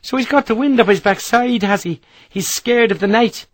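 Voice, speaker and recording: A man's voice: extremely high pitch, normal speed, no room sound, pitched above the performer's own voice. A man voicing a big, blunt Irish rifleman who talks in salty soldier's slang, with an Irish accent. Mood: stubborn. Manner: gruff, salty soldier's talk.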